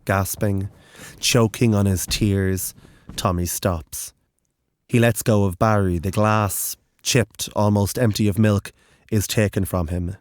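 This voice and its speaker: A narrator reading dark, literary horror fiction in a deliberate, intense and dramatic way. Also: low-pitched, fairly even intonation, dry recording.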